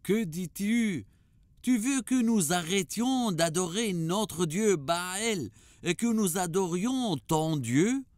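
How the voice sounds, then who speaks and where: An imperious, threatening cartoon king. He speaks slowly and with authority in a fairly high male voice, recorded dry and close. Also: animated, a little mellow, no echo. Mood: stern, questioning. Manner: deliberate, authoritative. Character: imperious pagan king, measured but threatening. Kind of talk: cartoon dubbing.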